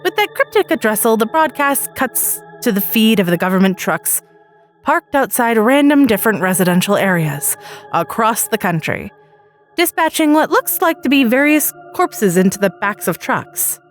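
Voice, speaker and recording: A woman with a fairly high voice narrates a story in the first person. She tells it dramatically, as a storyteller, with music playing underneath. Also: sing-song, normal speed.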